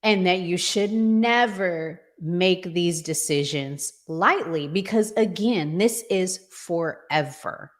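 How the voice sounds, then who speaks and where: A woman with a fairly low voice talks as a podcast co-host. She takes her time, placing her phrases deliberately and with emphasis in a conversational discussion.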